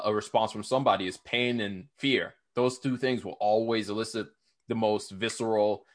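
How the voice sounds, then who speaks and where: Lively young man hosting a podcast. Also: on the low side. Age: young adult. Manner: animated, slangy, laughs often. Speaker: podcast host. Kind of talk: casual interview chat.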